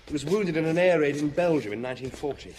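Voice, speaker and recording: An actor playing a keen, deferential junior police inspector in a radio drama, brisk and conversational. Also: male voice, a little high, expressive, a little mellow, natural voice, rough recording. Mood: eager reporting. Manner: conversational, reporting to his superior. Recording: radio drama with background ambience noise.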